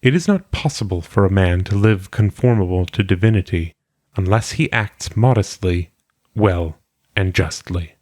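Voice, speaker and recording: A man reading philosophical maxims aloud in a solemn audiobook style, with measured, deliberate phrasing. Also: low voice, darkish tone, dry recording, studio quality. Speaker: reader.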